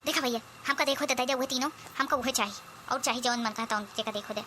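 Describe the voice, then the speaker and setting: A woman's voice: high voice, quick pace, pushed and bright, slight background noise. A woman voicing a demanding, sulky village sister-in-law in a comedy cartoon, whining and quarrelsome in an Awadhi accent. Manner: whiny, quarrelsome, rustic.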